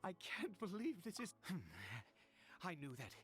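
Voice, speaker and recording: A man's voice: sits high, sing-song, unhurried, a bit bright, faint music behind, full character voice. A man voicing an anxious, devout father in a video game, stammering and theatrical, swinging between tenderness and fervour. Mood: awed, fervent. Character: anxious, fervently devout father of a cursed son.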